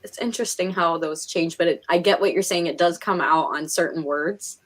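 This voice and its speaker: A woman's voice: lowish voice, moderate pace. Chatty Northeastern American woman, giggly. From Pennsylvania and New York, United States. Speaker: live stream host. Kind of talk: casual chat.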